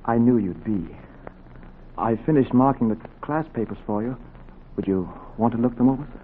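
A man's voice: mid-range voice, lively intonation, moderate pace, lightly acted. An actor playing an earnest, deferential young medical apprentice in a 1940s radio drama, polite and careful in his speech. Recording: tinny old radio, faint noise.

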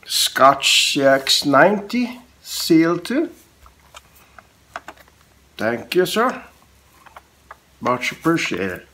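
A man in his forties with a cold, chatting casually in a low-key, halting way as he hosts a hobby unboxing vlog. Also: big pitch swings, pushed and bright. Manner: halting, low-key, short clipped phrases. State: has a cold. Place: small room at home, indoors, on a couch. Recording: clear background noise, handling clicks.

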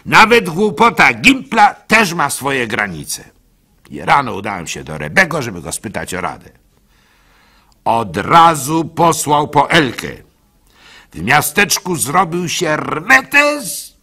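An actor playing a gullible, soft-hearted village baker who tells his story in a halting, plaintive way for a radio play. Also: a little high, big pitch swings, husky, heavily acted. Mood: indignant, recounting. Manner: halting, stammering, plaintive, swinging between hushed and loud. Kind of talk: dramatic first-person storytelling for a radio play.

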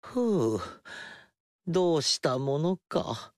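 A modest young noble warrior. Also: male voice, on the high side, very animated, extremely slow, a little mellow, no room sound, studio quality, full character voice. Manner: polite, self-deprecating. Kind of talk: game cutscene dialogue.

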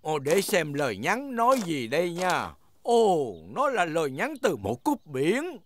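A man's voice: fairly high pitch, wildly swooping pitch, a little slow, fairly bright tone, full character voice. A man voicing a playful old grandpa pig in a children's cartoon dub, hearty and theatrical.

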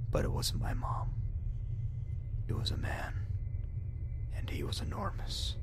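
A man giving a dramatic reading of a horror story as narrator, in a hushed, suspenseful way. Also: a bass voice, very noisy. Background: ambient music.

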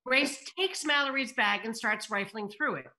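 A woman's voice: fairly high pitch, moderate pace, bright, forceful tone. A woman reading screenplay directions aloud at a table read over a video call. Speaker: stage-direction reader. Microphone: computer video call.

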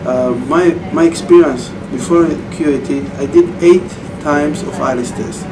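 A man in his thirties with a Saudi Arabian accent, a former student answering interview questions in halting English with frequent restarts, recorded in a computer lab. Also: on the high side. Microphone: far camera mic. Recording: distant camera mic, air conditioning hum.

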